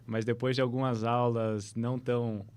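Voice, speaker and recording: A man's voice: mid-range voice, flat delivery, normal speed, darkish tone, clear, resonant tone, dry recording. Man hosting a podcast. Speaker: podcast host. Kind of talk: conversational interviewing.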